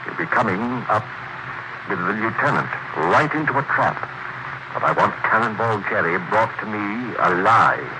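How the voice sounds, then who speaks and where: An actor playing an obsessed crime writer turned menacing mob boss, theatrical and intense in 1940s radio style. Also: male voice, lowish voice, animated, moderate pace, natural voice. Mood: commanding, confident. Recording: very noisy 1940s radio recording.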